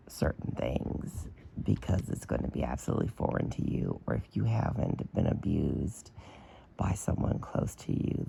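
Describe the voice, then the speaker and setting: A man with a badly hoarse, strained voice holds forth in a slow, unhurried vlog. His tone is dark and heavily gravelly, with a raspy rough edge throughout. He talks bluntly, in a plain opinionated way, with fillers. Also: low voice, a little hiss or hum, low-quality recording. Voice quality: damaged, strained voice. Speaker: vlogger. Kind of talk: casual commentary to camera.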